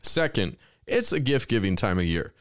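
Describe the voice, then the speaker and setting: A man's voice: lowish voice, big pitch swings, normal speed, clean tone, no room sound, clean studio sound. A man with an American accent, hosting a podcast with introductions and interview questions. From Richmond, Virginia, United States. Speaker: podcast host.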